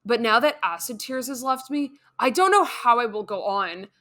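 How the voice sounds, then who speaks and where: A woman's voice: a little high, lively intonation, normal speed, pushed and bright. Girl as sad emo rock star dude, super earnest n melodramatic, comedy story. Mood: forlorn.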